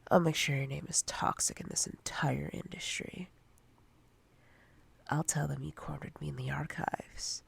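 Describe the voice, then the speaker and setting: Female boss for roleplay ASMR , played by a woman: menacing and condescending, very husky half-whisper, unhurried commands. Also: sits low, little pitch movement, exaggerated voice. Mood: menacing, controlling. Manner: slow, deliberate, commanding, condescending. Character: domineering, menacing female corporate boss toying with a subordinate.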